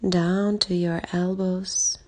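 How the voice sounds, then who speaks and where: A woman leading a guided body scan meditation in a calm, soothing way, speaking in short soft phrases with long pauses and a breathy edge. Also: on the low side, a little hiss or hum. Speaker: meditation guide, life coach. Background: background music.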